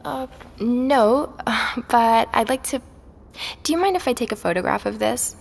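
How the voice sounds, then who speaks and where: An actress playing an inquisitive, persuasive woman podcaster in an audio drama, narrating and chatting in a measured, natural way. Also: on the high side, lively intonation, gravelly, heavily acted. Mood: thoughtful. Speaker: podcast narrator and lead character.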